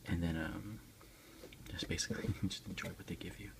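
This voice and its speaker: A soft-spoken man hosting a casual ASMR unboxing, hushed and often slipping into whispers. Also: sits low, steady tone, a little fast, gravelly. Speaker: unboxing video host.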